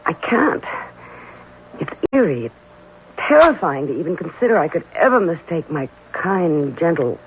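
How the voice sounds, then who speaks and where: A devoted but uneasy young bride-to-be in a vintage radio mystery. Her delivery swings widely, halting and melodramatic. The sound is dull old radio with a little background noise. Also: on the low side, very animated. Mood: fearful, confiding. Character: anxious, devoted young fiancée, haunted by her lover's twin. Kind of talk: old-time radio drama acting. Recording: dull, faintly noisy old radio recording.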